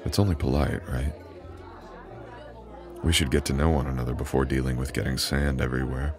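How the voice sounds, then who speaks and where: Very deep, gravelly immortal boyfriend acted by a man. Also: little pitch movement, crowd noise. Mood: warm, teasing.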